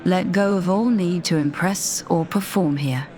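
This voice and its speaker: A woman narrating a scripted guided meditation in a measured, deliberate, soothing manner. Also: lowish voice, darkish tone. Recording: voice over background music. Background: music.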